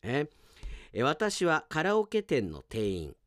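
A male announcer hosts solo radio talk and reads listener mail. His chatty delivery swings widely in pitch, recorded close and dry in a studio. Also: huge pitch swings, a little slow, no room sound.

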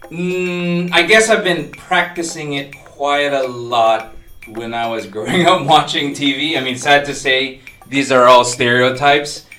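Filipino-accented man, bright echoey podcast voice. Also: medium pitch, unhurried, distant mic. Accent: Filipino-inflected American English. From Philippines. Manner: animated, chatty, laughs while teasing. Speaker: podcast co-host. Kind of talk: casual podcast chat. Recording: echoey room, voice a bit off-mic.

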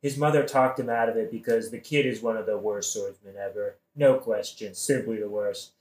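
A man's voice: fairly low pitch, steady tone, moderate pace, a little mellow, natural voice. Man playing a scheming, glib king, echoey. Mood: glib, persuasive. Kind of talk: modern-language satirical audio play.

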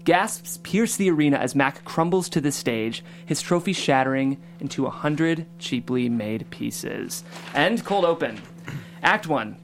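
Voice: mid-range voice, lively intonation, measured pace, a little hiss or hum. A podcast host welcoming listeners and reading scene directions in a chatty, upbeat way. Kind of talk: hosting a table read and reading stage directions.

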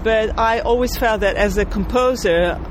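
A woman's voice: fairly high pitch, normal speed. Israeli-born woman composer, street noise. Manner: thoughtful, searching for words, laughs lightly. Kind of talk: interview answers.

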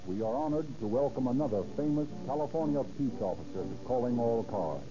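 A man's voice: medium pitch, lively intonation, a little fast, loud music behind the voice. A man announcing a radio show in a formal 1930s broadcast style on a muffled old recording.

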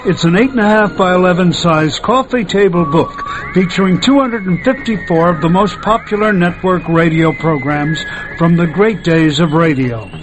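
A man's voice: high voice, normal speed, low-quality recording. An older American man, a radio host, reading a scripted promotional narration. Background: music.

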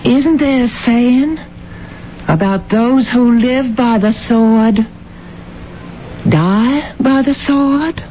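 An actress playing a composed, knowing American woman in a radio drama, with deliberate, theatrical phrasing. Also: fairly high pitch, music in the background. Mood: reflective. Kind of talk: 1970s radio drama acting. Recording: muffled, faintly noisy old radio broadcast.

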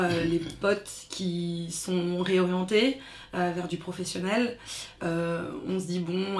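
A woman's voice: fairly low pitch, vocal fry. A woman in her thirties, born in France to Congolese parents, reflecting on her schooling in a casual interview with many fillers. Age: 30s. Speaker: interviewee, daughter. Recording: echoey room with faint noise.

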